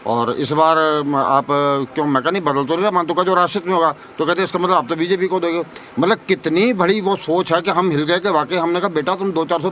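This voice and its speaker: Rapid talking guy, slightly high voice, emphatic opinions on the street, repeats himself, faint city noise. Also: a bit bright. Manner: emphatic, repetitive, rhetorical questions. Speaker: member of the public being interviewed. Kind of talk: opinionated street interview answers. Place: outdoors in a city market.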